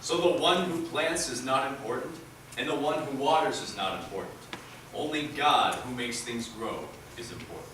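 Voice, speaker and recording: A man's voice: mid-range voice, moderate pace. A man reading a script dramatically, in the declamatory manner of a preacher. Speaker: reader in a readers' theatre group. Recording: echoey hall, distant mic, loud noise.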